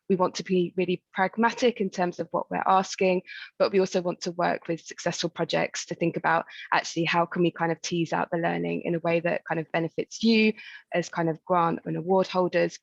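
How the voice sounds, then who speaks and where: A woman's voice: on the low side, vocal fry, dry recording. A woman explaining things as a programme staffer on an online information call, informal but professional, with muffled call audio. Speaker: grant programme staff member answering questions.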